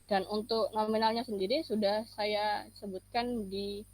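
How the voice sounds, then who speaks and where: A young woman explains slides as a student presenter, with hesitant, halting phrasing. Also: mid-range voice, steady tone, darkish tone, rough recording. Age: young adult.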